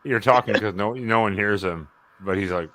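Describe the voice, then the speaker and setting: A man's voice: on the low side, moderate pace, over a noisy crowd. A man in a casual livestream hangout chat, bantering and swearing freely. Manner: profane, bantering.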